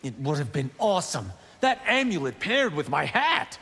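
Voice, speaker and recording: An eager young warrior from a fighting game, boastful and boyish. His fairly high, animated voice is slightly rough and rings in long reverb. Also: moderate pace, full character voice. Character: brash, eager young male warrior monk with big ego. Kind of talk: video game pre-fight banter.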